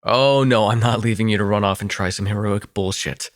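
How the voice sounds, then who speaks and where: Man acting a low-voiced, protective vampire. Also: lightly acted. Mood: shaken, pleading. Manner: intimate, halting, swearing, sighing. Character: frantic, protective male vampire, foul-mouthed and sardonic. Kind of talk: ASMR audio roleplay acting.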